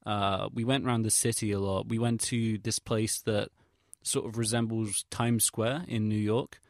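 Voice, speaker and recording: A young English man with a fairly low voice talks in a casual audio log, recorded close and dry. He rambles hesitantly through his recollections, using slangy, sweary, self-deprecating wording. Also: level tone, moderate pace, dry recording. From England. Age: young adult. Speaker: vlogger.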